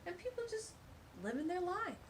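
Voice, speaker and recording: A woman's voice: very high voice, sing-song, moderate pace, a little hiss or hum. A woman hosting a children's storytime, reading a picture book aloud with gushing, exclamatory asides.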